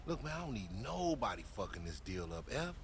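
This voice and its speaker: An actor playing a brash young American street hustler with big dreams, cocky and slangy, in a video game. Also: male voice, medium pitch. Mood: cocky, casual. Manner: cocky, excitable, fast-mouthed. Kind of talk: video game cutscene acting. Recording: outdoor ambience and vehicle noise.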